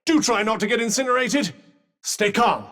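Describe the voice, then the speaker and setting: A man's voice: extremely high pitch, moderate pace, fairly bright tone, in a large hall. A man voicing a sardonic talking magic bracelet in a video game, firing off dry, sarcastic quips and urgent combat warnings. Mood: urgent coaching. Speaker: voice actor.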